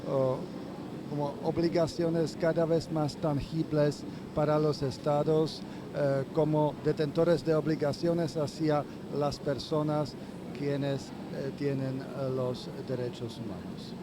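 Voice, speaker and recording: A man speaking on a conference panel, halting and full of hesitations. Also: a little high, steady tone, noisy background. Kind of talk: panel remarks. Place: conference venue.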